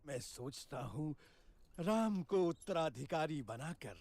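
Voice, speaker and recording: Old king acted by a man, cartoon epic: slow, regal, swooping intonation, dry sound. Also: fairly high pitch, sing-song, darkish tone, dry recording, exaggerated voice. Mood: thoughtful, decided. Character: aging, weary king planning to hand over rule. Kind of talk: mythological cartoon dialogue.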